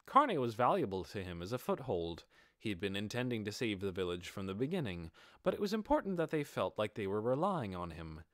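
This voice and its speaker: A man reading a fantasy audiobook as narrator, in an expressive scripted storytelling style. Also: fairly low pitch, a little fast, no room sound, studio quality.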